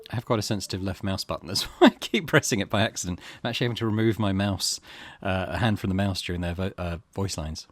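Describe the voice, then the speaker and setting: A man's voice: low voice, fast talker, no echo. A man giving casual commentary as the host of a gaming channel while he plays. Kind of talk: casual let's-play commentary.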